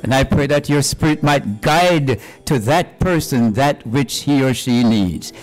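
A man in a church sanctuary, slowly finishing a prayer and asking the church to say amen. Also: medium pitch, faint music behind. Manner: slow, prayerful. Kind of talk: closing prayer.

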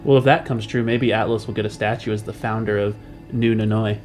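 A young man casually discussing a game story, informal and halting as he rephrases his thoughts. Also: lowish voice, steady tone, darkish tone. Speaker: podcast panelist posing questions. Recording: music playing behind the voice. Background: background music.

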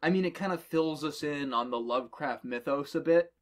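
A man's voice: fairly high pitch, brisk. A man co-hosting a podcast, giving analytical opinions in a casual group discussion. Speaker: podcast co-host. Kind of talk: casual panel discussion of fiction.

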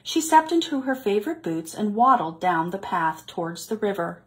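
A woman reads a children's picture book aloud in a deliberate, expressive way for young listeners. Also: mid-range voice. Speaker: children's story reader.

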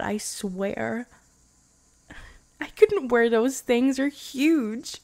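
A woman voicing a loving young vampire girlfriend in an intimate, improvised ASMR roleplay, tender and hushed, often slipping into whispers, close on the microphone. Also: fairly high pitch, dry recording. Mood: teasing, amused. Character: loving young vampire girlfriend, playful yet afraid of loss.

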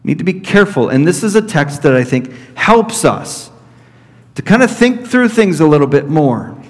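Pastor guy in his 30s, slow careful sermon, kinda high voice, long church reverb. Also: very animated. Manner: slow, deliberate teaching with repeated points. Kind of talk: expository sermon. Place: church sanctuary at a pulpit. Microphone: fixed camera at middle distance.

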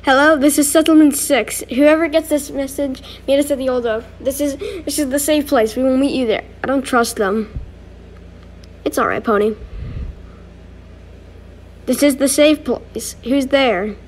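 A woman with a fairly high voice performs amateur cartoon dialogue. The home recording carries faint noise behind her lines. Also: measured pace. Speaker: amateur voice actor. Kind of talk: acted dialogue for a fan animation.

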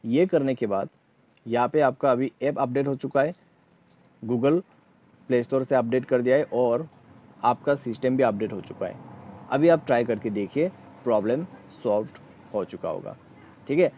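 A man's voice: medium pitch, normal speed, long pauses, muffled recording, a little hiss or hum. A man hosting a step-by-step phone tutorial in an instructional manner, often checking in as he goes. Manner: instructional, repeatedly checking in with "okay". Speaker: tech tutorial host.

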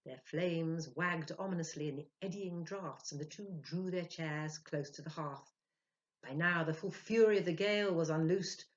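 A woman in her sixties giving a theatrical, expressive dramatic reading of a story as a professional storyteller. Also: deep voice, normal speed. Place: small indoor room. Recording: dull lo-fi webcam sound.

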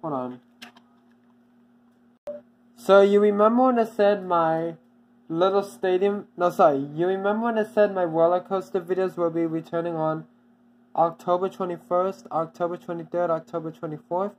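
A channel owner giving a casual, off-the-cuff update, halting and repeating itself often with many restarts. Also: medium pitch, fairly even intonation, a little mellow, slight background noise.

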